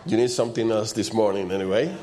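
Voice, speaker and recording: A man with a loud voice and preaches in an informal, conversational way, repeating short phrases for emphasis. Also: lowish voice, fast. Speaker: preacher leading a church service.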